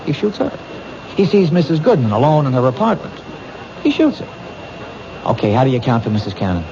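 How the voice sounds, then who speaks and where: A man's voice: mid-range voice, fairly quick, vocal fry. An actor playing an earnest young police detective who reasons his case aloud, in a 1970s radio drama. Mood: reasoning. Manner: earnest, questioning, animated. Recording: dull noisy old radio broadcast.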